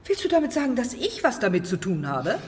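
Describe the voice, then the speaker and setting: A woman's voice: on the high side, huge pitch swings, fairly quick. An actress playing a tough older former intelligence operative in a noir radio drama, firm and motherly. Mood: indignant, urgent. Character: tough, caring older female ex-intelligence operative nursing a detective. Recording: distant mic, noisy, with clicks.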